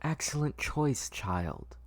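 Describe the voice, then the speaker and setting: A man's voice: fairly high pitch, expressive, slow pace, no echo, pitched above the performer's own voice, heavily acted. A man voicing an eerie but kindly doctor who sells ice cream, courteous and coaxing, in an amateur drama read. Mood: salesman-like.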